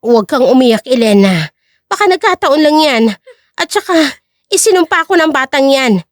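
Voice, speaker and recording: A woman's voice: sits high, very animated, normal speed, no room sound, studio quality. A woman playing a spiteful, scheming mother in an audio drama, speaking in an emphatic, scornful way. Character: spiteful, scheming mother who curses her stepdaughter.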